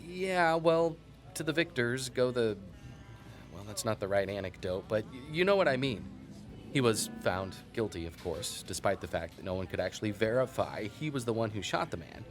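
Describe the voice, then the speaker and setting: A man's voice: lowish voice, animated, normal speed, faint music behind, natural voice. Folksy, wry small-town historian played by a man. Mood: wry, resigned. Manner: folksy, wry, digressive, with thoughtful asides. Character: chatty small-town male historian who relishes local lore. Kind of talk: conversational storytelling in an audio drama.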